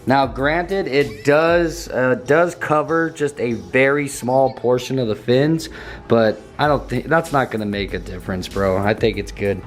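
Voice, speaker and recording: A young man with a slightly hoarse voice, walking through a car build in a casual vlog and tossing in dude and bro. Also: fairly high pitch. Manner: laid-back slangy, says dude and bro. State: slightly hoarse, losing his voice.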